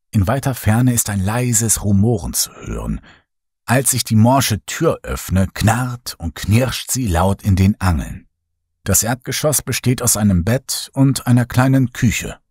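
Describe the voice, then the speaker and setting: A man narrating a horror audiobook in the first person, measured, somber and suspenseful, with dramatic delivery. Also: fairly low pitch, darkish tone, husky, dry recording, studio quality.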